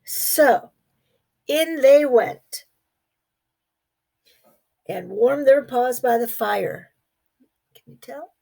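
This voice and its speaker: A woman reading a children's storybook aloud expressively, pausing to put questions to young listeners. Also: sits high, expressive, gravelly, warm tone. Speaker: storybook reader. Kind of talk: children's read-aloud narration.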